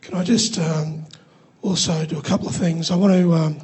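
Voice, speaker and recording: A man with a croaky voice, asking a question from the audience in a halting way with many ums. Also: on the high side, steady tone, darkish tone.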